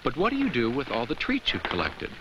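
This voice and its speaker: An actor playing a kindly, earnest talking jack-o'-lantern who narrates a children's safety film with simple, reassuring explanations. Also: male voice, mid-range voice, huge pitch swings, a little fast, dull audio, background noise. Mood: friendly.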